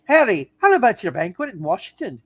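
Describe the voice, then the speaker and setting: Woman playing a lively small-town townswoman. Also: medium pitch, brisk, slightly rough, no room sound. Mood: eager. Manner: playful, giggly. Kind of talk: hammed-up amateur radio play reading.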